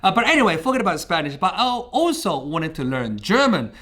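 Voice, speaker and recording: A man's voice: high-pitched, big pitch swings, fairly quick, a bit bright. A Japanese man in his thirties, a native Japanese speaker with a light British-tinged accent, telling his life story to camera in a chatty way with frequent fillers. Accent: Japanese speaker of English with British traces. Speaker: vlogger. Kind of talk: casual personal storytelling to camera.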